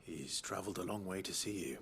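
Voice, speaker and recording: Low-voiced, resolute game hero. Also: male voice, a bit bright, slight background noise. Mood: polite, restrained. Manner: measured, polite, determined. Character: earnest, resolute male hero, calm and courteous. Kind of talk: video game drama acting.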